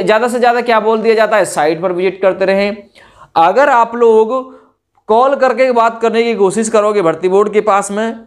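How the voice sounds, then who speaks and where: Man, high voice, informal explainer, conversational, addressing viewers directly. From India. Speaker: YouTube host giving recruitment exam updates. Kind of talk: informal news update explainer.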